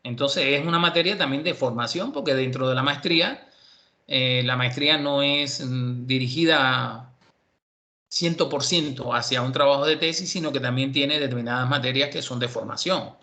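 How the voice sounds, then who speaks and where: Cuban guy lecturing his grad class over a video call, bright voice, lots of ums and restarts. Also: mid-range voice, normal speed. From Cuba. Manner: relaxed, chatty, many fillers and restarts. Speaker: university professor.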